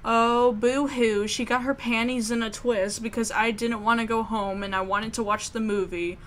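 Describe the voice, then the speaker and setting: A woman's voice: on the high side, fairly even intonation, normal speed, a little hiss or hum. A woman reading a visual novel aloud as a gamer, mixing narration with sassy, casual commentary.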